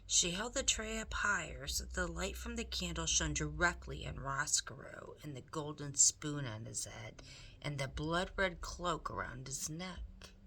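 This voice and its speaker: A woman narrating a children's novel aloud in an expressive read-aloud style, as a teacher would. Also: low-pitched, measured pace, poor audio.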